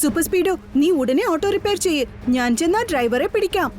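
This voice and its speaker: A woman's voice: very high-pitched, lively intonation. A woman voicing a brave young boy superhero in a cartoon, confidently giving orders. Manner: quick, confident, gives orders. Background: cartoon music.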